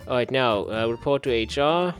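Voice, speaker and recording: Male gaming host, medium pitch, casual read-aloud playthrough style, talks to himself repetitively, soft music underneath. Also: moderate pace. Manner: muttering to himself, repeating phrases. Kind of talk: casual playthrough commentary, reading game text aloud.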